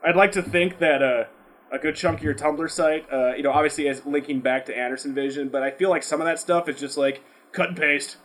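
Higher-voiced man swearing through casual podcast chat. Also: fairly quick. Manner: profane, slangy, animated rambling. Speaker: podcast host.

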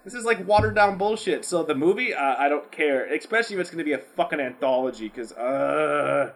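A man with a slightly high voice co-hosts a podcast. He talks casually and loosely, full of slang and swearing, with an exclamatory way of putting things. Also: lively intonation, fairly quick, vocal fry, a bit bright. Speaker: podcast co-host. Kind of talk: casual chat answering fan questions.

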